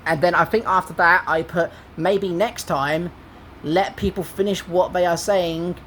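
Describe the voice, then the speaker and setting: A man in his twenties with an English accent and a high, squeaky voice, rambling through an aggrieved retelling of an online argument. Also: steady tone, a little slow, a bit bright, a little hiss or hum. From England. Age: 20s. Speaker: gaming vlogger. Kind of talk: casual commentary.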